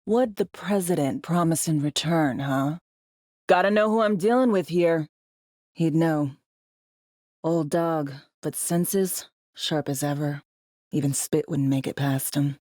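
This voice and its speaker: An actress playing a tough, bossy, wry spy handler in a cyberpunk video game, with clipped, slangy, teasing delivery. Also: female voice, sits low, measured pace, darkish tone, no room sound, clean studio sound. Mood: probing, serious. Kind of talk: video game voice acting, briefings and radio guidance.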